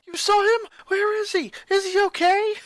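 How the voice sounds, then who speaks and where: A reader voicing a hot-tempered, brash thirteen-year-old athletic girl in a story, high-strung and pushy. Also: steady tone, moderate pace, no room sound. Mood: frantic.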